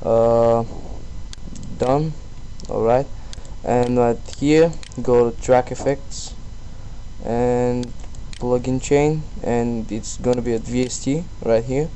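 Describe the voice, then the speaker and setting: A man presenting a casual screen-recorded software tutorial in a halting, self-deprecating way. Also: medium pitch, poor audio. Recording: steady background noise on voice-over.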